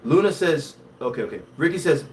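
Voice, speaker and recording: A man from Haiti with a Haitian accent, hosting a casual livestream, talking in an animated way and reading viewer comments. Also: fairly high pitch, brisk, recorded from a distance, a little hiss or hum. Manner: switches between English and Haitian Creole, animated and conversational.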